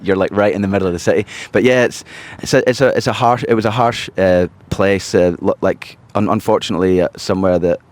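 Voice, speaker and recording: A man with a Glasgow Scottish accent, a podcast guest talking casually, often pausing and restarting his sentences. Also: low voice, steady tone. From Scotland. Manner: hesitant, many restarts and fillers. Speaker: podcast guest, charity founder. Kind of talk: casual interview chat.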